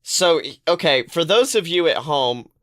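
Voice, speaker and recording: A man co-hosting a podcast in casual comedic chat, telling stories excitably and playfully, with some swearing. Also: fairly high pitch, unhurried. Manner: excitable, playful storytelling with profanity. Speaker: podcast co-host.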